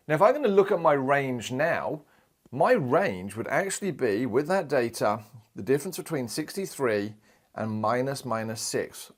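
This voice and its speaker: A man in his thirties, from Australia, teaching a recorded maths lesson in a chatty, animated way. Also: medium pitch. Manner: lively, chatty, acts out quoted voices. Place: indoor studio in front of a screen.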